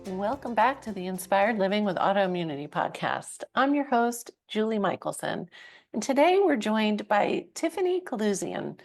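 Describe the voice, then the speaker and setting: A woman's voice: mid-range voice, creaky. A woman hosting a podcast, introducing her guest and chatting, often laughing along and adding short replies. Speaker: podcast host. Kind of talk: podcast host intro and interview chat.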